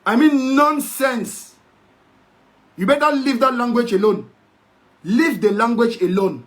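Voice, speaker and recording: A man's voice: very high-pitched, takes it slowly. A man with a Cameroonian French accent ranting sarcastically in a video commentary, indignant and preachy, sometimes slipping into English to mock. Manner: mixes in English mockingly.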